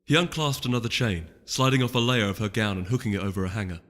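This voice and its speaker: Bright-voiced man narrating in long reverb. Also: on the low side, fast. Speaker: audiobook reader of fan fiction. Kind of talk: scripted dramatic narration. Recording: long reverb, music underneath. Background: music.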